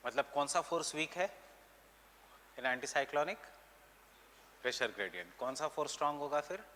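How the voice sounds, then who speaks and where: Fast-talking Indian male lecturer in reverberant room. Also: on the high side, animated, sharp tone. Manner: emphatic, repeats key phrases, checks understanding with short questions; switches between Hindi and English. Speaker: teacher, exam coaching lecturer. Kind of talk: classroom lecture on geography. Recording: roomy long reverb, background noise.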